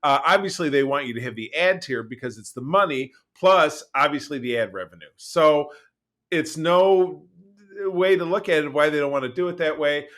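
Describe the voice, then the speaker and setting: A man co-hosting a podcast in casual, opinionated chat, animated and emphatic, often repeating his phrases. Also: on the high side, moderate pace. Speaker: podcast co-host.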